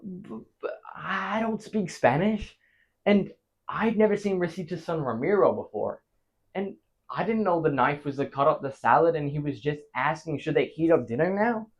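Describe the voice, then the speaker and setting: A man acts out a rattled husband telling his tale in a comic stage play. His voice sits fairly high and swings widely in pitch. He stammers, stops for dramatic pauses and lurches between big emotions. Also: lively intonation, highs cut off. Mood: frantic, flustered. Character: flustered married man frantically recounting a disastrous anniversary night. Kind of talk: theatrical comic stage monologue.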